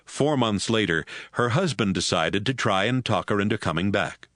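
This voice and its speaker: A man narrating scripture in a steady, measured way. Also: on the low side, no echo, studio quality. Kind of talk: scripted audio Bible narration.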